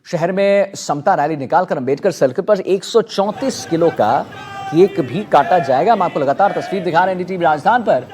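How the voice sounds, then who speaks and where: A man's voice: high-pitched, lively intonation, normal speed. A man presenting live television news as an anchor.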